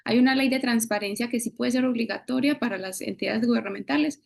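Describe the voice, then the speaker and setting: Creaky-voiced Colombian woman. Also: fairly high pitch, quick pace. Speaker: webinar participant asking questions. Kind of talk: conversational, explanatory question.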